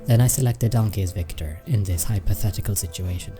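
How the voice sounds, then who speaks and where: An actor playing a sober, reasonable imp scout in a radio play, speaking in an understated, formal, matter-of-fact way. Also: male voice, deep voice, fast talker, lightly acted. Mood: calm, analytical. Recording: faint music and insect chirps behind.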